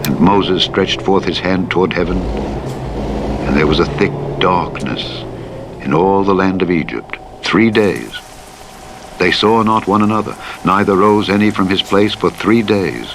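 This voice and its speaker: A man reading scripture in a measured, formal and solemn style, as an audiobook narrator. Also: low-pitched. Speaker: Bible narrator. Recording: steady vehicle noise over playback. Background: truck simulator engine and road noise.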